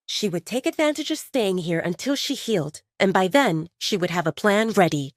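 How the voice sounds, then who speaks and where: Expressive woman narrating with lively pitch. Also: moderate pace, no room sound, studio quality. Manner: expressive, dramatic storytelling. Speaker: audiobook narrator. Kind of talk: dramatic scripted narration of a romance story.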